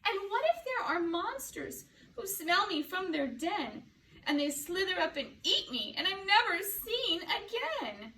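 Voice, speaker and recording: Lady doing a scared lil baby penguin for a storybook, high worried voice, echoey and far from mic. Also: very animated, a little slow, pushed and bright, heavily acted. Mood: scared, pleading. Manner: fretful string of worried questions. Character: frightened little penguin child, anxious and pleading. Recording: echoey, distant mic, faint background noise.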